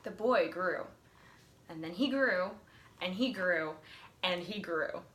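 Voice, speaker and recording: A woman reading a picture book aloud with expressive storytelling. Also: fairly low pitch, slow. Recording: roomy, echoey sound with faint noise.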